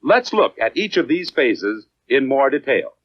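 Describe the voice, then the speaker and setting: American man, scripted instructional narration, measured and clearly enunciated, dry close sound, dull 1950s film soundtrack. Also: mid-range voice, sing-song, no echo.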